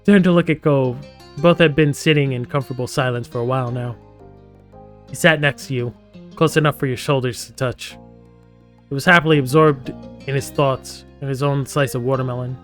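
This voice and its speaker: A man's voice: medium pitch, steady tone, normal speed, soft background music. A man hosting a gaming live stream, playfully reading story text aloud and breaking off into theatrical, jokey asides.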